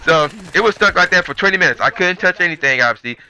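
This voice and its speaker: A man's voice: high voice, animated, fast talker, pushed and bright. A man telling a story as a channel host, in a rambling, conversational, slangy way. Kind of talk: casual storytelling commentary.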